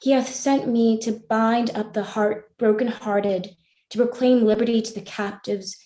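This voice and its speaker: A woman's voice: a little high, steady tone, measured pace, warm tone, close to an everyday voice. A woman playing an earnest, devout young woman, speaking formally in a live staged play reading. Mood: earnest, solemn. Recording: roomy, echoing voice pickup.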